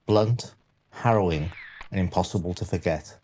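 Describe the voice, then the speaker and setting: A man narrating a scripted countdown documentary with a measured, dramatic delivery. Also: sits low, dark tone, slight background noise. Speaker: narrator and channel host.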